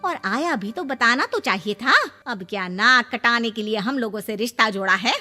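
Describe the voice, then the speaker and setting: A woman's voice: on the high side, animated, normal speed, bright, forceful tone. A woman voicing a disapproving middle-aged mother who scolds and questions sharply in a family story. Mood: disapproving, scolding. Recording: long reverb, music bed.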